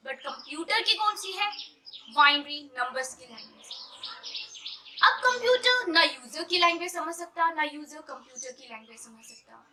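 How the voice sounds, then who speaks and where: Young Indian woman, lesson host, Indian accent, high voice, slow English definitions. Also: animated, pushed and bright. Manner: mixes English terms into Hindi, slow deliberate English definitions. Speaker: computer science teacher. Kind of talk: explanatory lesson.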